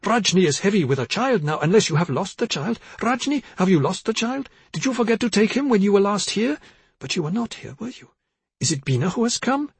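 A man's voice: high voice, big pitch swings, a little fast, breathy edge, no echo, voice pushed up, heavily acted. A man voicing a frail, confused elderly mother who rambles apologetically and fretfully in a story. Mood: confused, wandering. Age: older.

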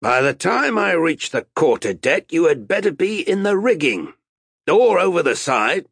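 A man's voice: a little high, huge pitch swings, slow pace, creaky, a bit bright, husky, no room sound, clean studio sound, pitched above the performer's own voice, heavily acted. A man voicing a bold, blustery, threatening sea captain in an audiobook, with an emphatic theatrical delivery. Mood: menacing. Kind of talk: audiobook character acting.

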